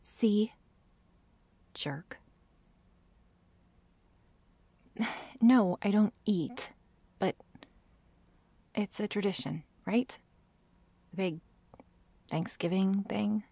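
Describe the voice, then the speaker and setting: A woman's voice: mid-range voice, extremely slow, vocal fry, long pauses, dark tone, no echo, heavily acted. A woman playing a prickly, sarcastic but secretly shy vampire girl in an ASMR roleplay, hesitant and sighing, often dropping to a whisper. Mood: grudging, awkward. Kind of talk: ASMR roleplay addressed to the listener.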